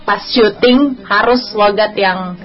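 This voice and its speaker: A woman's voice: medium pitch, slow, lo-fi sound, faint music behind. A woman, an actress guest on a radio interview, answering hesitantly with many fillers.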